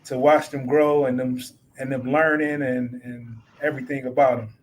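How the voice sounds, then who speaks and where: An American man from Detroit answers casually as a panel guest. His pitch is average, and he repeats words as he feels for the next phrase. There is noticeable noise in the background. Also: flat delivery, moderate pace, poor audio. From Detroit, Michigan, USA.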